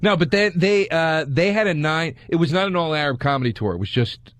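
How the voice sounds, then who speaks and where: A man co-hosting a comedy podcast, talking in a relaxed conversational way. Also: a little high, fairly quick, no echo. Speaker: podcast co-host. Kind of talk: casual chat.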